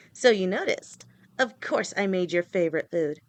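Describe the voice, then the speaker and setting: A woman's voice: mid-range voice, expressive, measured pace, a little hiss or hum, natural voice. A woman playing a doting, playful lamia girlfriend. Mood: cheerful, doting. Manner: affectionate, teasing, pet names; stammers and rambles when nervous. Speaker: voice actor. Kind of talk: listener-directed audio roleplay.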